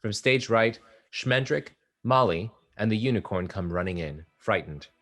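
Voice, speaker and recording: A man reading stage directions aloud at a play table read, plain and steady. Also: lowish voice, normal speed.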